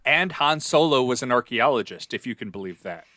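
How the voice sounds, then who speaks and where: A man co-hosting a casual book discussion with emphatic, halting phrasing. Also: mid-range voice, lively intonation, bright, forceful tone, dry recording, studio quality. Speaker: podcast co-host.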